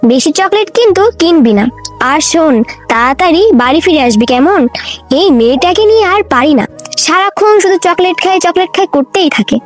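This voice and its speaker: A woman's voice: extremely high pitch, expressive, a little fast, soft background music. A woman playing a strict, exasperated village mother in a children's cartoon, nagging and scolding as she talks. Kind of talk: Bengali children's cartoon voice acting.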